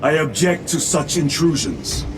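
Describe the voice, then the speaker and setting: Stern, clipped veteran space marine lieutenant. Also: male voice, fairly high pitch, expressive, unhurried, noisy background. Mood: guarded, grave. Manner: clipped, commanding.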